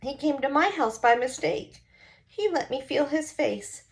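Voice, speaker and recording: A quirky, forgetful old lady from a storybook, acted out by a woman reader. Her pitch sits high and swoops as she muses in short, quaint questions, with a chirpy, mischievous temper. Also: expressive, a little slow, gravelly, heavily acted. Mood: chirpy, musing.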